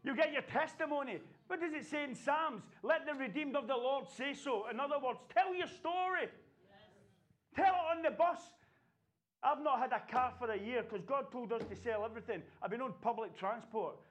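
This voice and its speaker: A fervent male pastor, his voice sitting high for a man and climbing into near-shouts as he exhorts the congregation. He preaches with passion and repetition in a church setting. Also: moderate pace. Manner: impassioned preaching, rising to shouts. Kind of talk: sermon.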